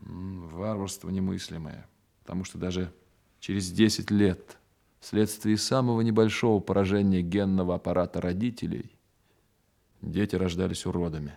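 An actor playing an army major in a Soviet radio play, stern but humane, with crisp military delivery. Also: male voice, low-pitched, little pitch movement, warm tone. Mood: grave, explanatory. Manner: crisp military orders, measured explanations.

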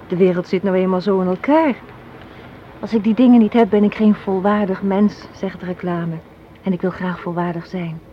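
An actress playing a sharp-tongued, unsentimental young woman in a radio play, sardonic and matter-of-fact as she argues. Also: mid-range voice, close to an everyday voice. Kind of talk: Dutch radio drama acting. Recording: muffled, noisy old radio play recording.

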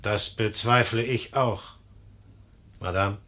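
An actor playing a proud, ambitious young Napoleon in an imperious, declamatory manner in a 1950s radio play. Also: male voice, lowish voice, steady tone, takes it slowly, sharp tone. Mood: intimate, conceding.

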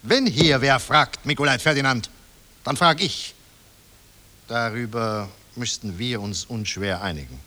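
An actor playing a pompous, overbearing Austrian examining magistrate in a radio drama, pedantic and sarcastic. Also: male voice, medium pitch, animated, measured pace, bright, forceful tone. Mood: indignant, stern. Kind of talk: 1960 radio drama acting. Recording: reverberant hall-like room.

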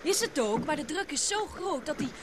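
A woman's voice: high-pitched, lively intonation, normal speed. An actress playing an anxious, sensitive young woman fleeing an island, in an earnest, dramatic Dutch radio play style. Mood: troubled, awed. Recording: noisy old radio drama recording.

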